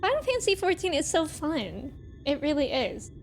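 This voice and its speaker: Female livestreamer, high-pitched voice, expressive casual chat and exclamatory reactions, faint music underneath. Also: normal speed, creaky. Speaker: video game livestreamer.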